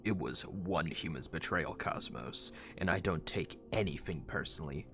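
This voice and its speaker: A man's voice: a bass voice, normal speed, gravelly, pitched below the performer's own voice. A man voicing a bitter, curt robot police officer in a comic dub, terse and brooding, with music behind him. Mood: curt, commanding.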